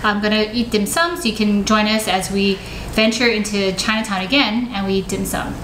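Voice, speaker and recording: A woman's voice: mid-range voice, fairly even intonation, brisk, a bit bright. A woman, chatting casually and asking questions as host in a home kitchen. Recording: echoey kitchen with faint background noise.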